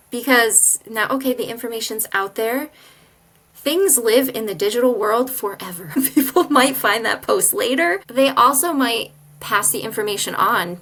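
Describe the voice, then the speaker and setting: A woman's voice: medium pitch, fairly bright tone. A woman hosting a podcast interview, thinking aloud in a reflective, casual way with frequent "like" and "you know". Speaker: podcast host.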